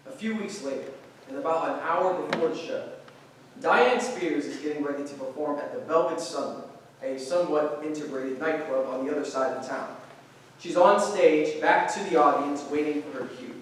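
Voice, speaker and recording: Highish-voiced male narrator in an echoey room. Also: normal speed. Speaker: narrator reading stage directions. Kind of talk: scripted narration. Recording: distant, very echoey room, faint noise.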